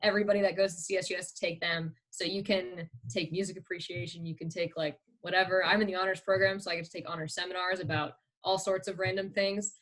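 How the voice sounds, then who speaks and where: A young woman student sums up her program on a video call in a breezy, casual way. Her voice sits low for a woman. She keeps it brisk. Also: level tone, a bit bright. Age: young adult. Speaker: student presenter. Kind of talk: informal presentation.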